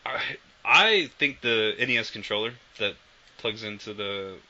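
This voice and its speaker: A man's voice: fairly low pitch, expressive, unhurried, pushed and bright. A man co-hosting a podcast in casual chat, rambling and swearing casually. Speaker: podcast co-host. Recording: dull lo-fi podcast audio with faint noise.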